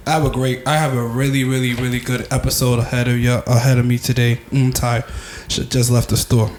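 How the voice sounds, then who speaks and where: A young man hosts his podcast, bragging and loose, with plenty of slang and fillers. His delivery stays flat and even in pitch. Faint background noise sits under the voice. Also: a little fast. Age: young adult. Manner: boastful, slangy, lots of fillers. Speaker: podcast host. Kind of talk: casual interview chat.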